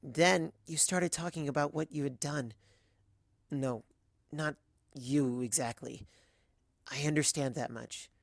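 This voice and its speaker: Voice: mid-range voice, steady tone, slow, creaky, long pauses, no echo, studio quality. A reader voicing a timid, earnest young female pony who speaks in a hesitant, pleading way, in a dramatic fan fiction reading. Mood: apologetic.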